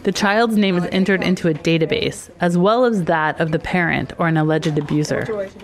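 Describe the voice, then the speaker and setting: A woman reporter reading a scripted news report in a measured, even way. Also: lowish voice, a crowd in the background.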